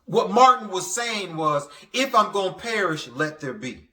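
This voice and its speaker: A man preaching a motivational sermon in a halting, stammering way, repeating phrases for emphasis. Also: high-pitched, sing-song, pushed and bright. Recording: echoey, reverberant room sound.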